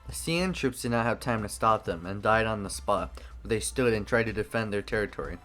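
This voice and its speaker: A man narrating a fan-made action story in an even reading voice. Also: lowish voice, brisk, faint music behind.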